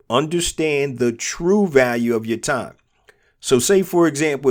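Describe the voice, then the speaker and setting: A man with a cold, his nose stuffed and throat sore, hosting a motivational business podcast. He talks earnestly and repeats his key points for emphasis. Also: medium pitch. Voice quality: congested. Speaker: podcast host and business coach.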